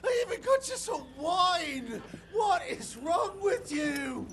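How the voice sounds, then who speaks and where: An actor playing a sly, talkative male arms-dealer informant, gravelly and hesitant, in a game cutscene. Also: very animated, slow, noisy background, exaggerated voice. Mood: panicked. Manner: chattering, hesitant, shrill when panicked. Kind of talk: video game cutscene acting.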